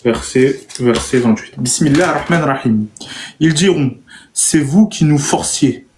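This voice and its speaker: A man moderating a live online debate, calmly managing turns and reading passages aloud. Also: fairly high pitch, measured pace, slight background noise. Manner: calm, brief interjections, plain reading.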